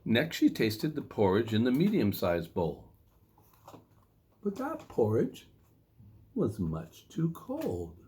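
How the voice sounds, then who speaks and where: A man reading a children's story in a playful, expressive way with dramatic emphasis. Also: medium pitch, takes it slowly, long pauses, husky.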